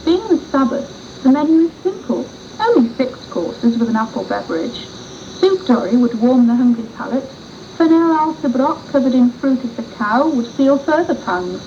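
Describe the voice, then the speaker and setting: A woman with a fairly high, very mellow voice reads a dramatised first-person story. Her delivery is formal and literary, on a muffled old 1980s radio tape. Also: lively intonation, normal speed, slight background noise. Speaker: amateur player reading a radio story.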